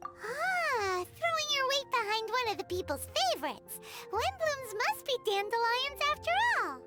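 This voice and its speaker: A woman voicing a tiny childlike fairy companion in a video game, squeaky, cheeky and excitable. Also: unhurried, heavily acted. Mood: excited, cheeky. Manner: cheeky, exclamatory. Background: music.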